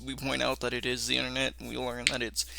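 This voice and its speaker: A man's voice: mid-range voice, monotone, quick pace, vocal fry, sharp tone, slight background noise. A young man hosting a reaction video, casually commenting and reading text aloud.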